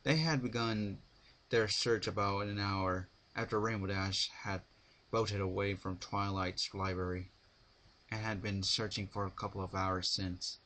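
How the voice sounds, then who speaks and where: A man reading a story aloud as dramatic narration, an amateur who stumbles haltingly over some words. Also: fairly low pitch, fairly even intonation, sharp tone.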